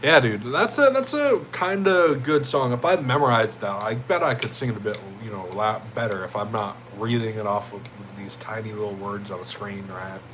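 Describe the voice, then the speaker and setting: A young man with a deep, jazzy voice, chatting casually with viewers on a livestream in a loose, rambling way. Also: noisy background. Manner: laid-back, rambling, thanks chatters as "my friend" and "bro".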